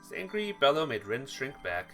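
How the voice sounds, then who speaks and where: A let's player reading a visual novel aloud as expressive narration, sometimes stumbling and rereading phrases. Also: mid-range voice, moderate pace, over music. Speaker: video game let's player.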